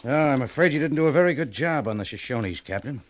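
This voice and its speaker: An actor playing a stern commanding major in a radio western, authoritative, clipped and sarcastic. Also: male voice, mid-range voice, lively intonation, fast, no room sound, lightly acted. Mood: dry reproach. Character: stern, sardonic male army major, commanding officer. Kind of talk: 1950s radio western acting.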